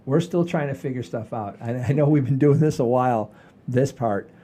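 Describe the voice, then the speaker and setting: Mellow, folksy older man chatting. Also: medium pitch. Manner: folksy, mildly profane, halting and repetitive. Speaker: podcast host. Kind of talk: casual rambling podcast chat.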